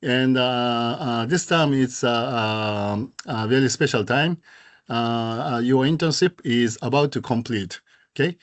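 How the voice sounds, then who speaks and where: A man with a Japanese accent, a native Japanese speaker, hosting an online presentation meeting in halting speech full of fillers. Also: mid-range voice. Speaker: host.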